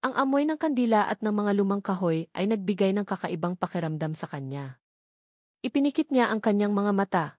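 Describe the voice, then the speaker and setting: A woman's voice: medium pitch, lively intonation, brisk, a little mellow, dry recording, clean studio sound. A woman with a Filipino accent giving a dramatic scripted narration of a romance story.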